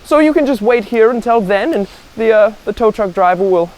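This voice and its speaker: A man voicing a kindly, pious, portly old monk with a gentle manner in a spoken joke story. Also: high voice, lively intonation, fairly quick, voice pushed up, poor audio. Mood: chatty, reassuring. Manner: gentle, welcoming, solemn when refusing. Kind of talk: acted voice in an oral joke story.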